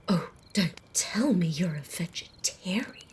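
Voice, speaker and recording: An ancient vampire woman, acted by a woman, talks in a low-pitched, mellow and often whispered voice. She takes her time, teasing and amused, with casual menace under the softness. It is an intimate ASMR roleplay delivery at a very slow, conversational pace. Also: lively intonation, a little hiss or hum. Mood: dry, teasing. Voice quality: soft, breathy, often whispered. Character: centuries-old female vampire, wry, teasing, casually menacing.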